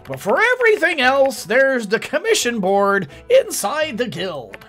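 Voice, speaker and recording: A man's voice: extremely high pitch, wildly swooping pitch, a little slow, bright, forceful tone, faint music behind, voice pushed up, heavily acted. A man voicing an upbeat, bossy male guild leader in a game.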